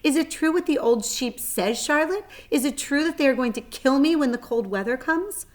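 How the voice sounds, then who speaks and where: A woman's voice: high-pitched, expressive, moderate pace, voice pushed up. A woman voicing a naive, emotional young male pig from a children's storybook, pleading and exclaiming. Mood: panicked.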